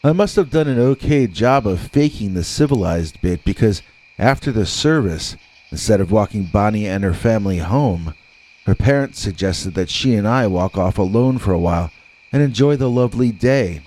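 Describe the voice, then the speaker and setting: A man reading scripted scary stories as a narrator, with dramatic, deliberate delivery and drawn-out words. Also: fairly low pitch, animated, darkish tone, poor audio.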